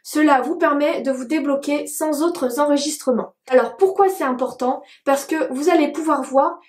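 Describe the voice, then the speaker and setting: Woman, high voice, chatty tutorial, roomy echo. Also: moderate pace, a little mellow. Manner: explanatory, chatty, with fillers. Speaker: e-commerce coach. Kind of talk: screen-share tutorial.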